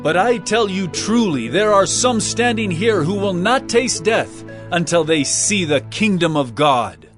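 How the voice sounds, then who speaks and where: A man's voice: high voice, expressive, unhurried, fairly bright tone. A man playing an earnest, authoritative teacher in a dramatized audio Bible, speaking in a declamatory way. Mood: earnest, solemn. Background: music.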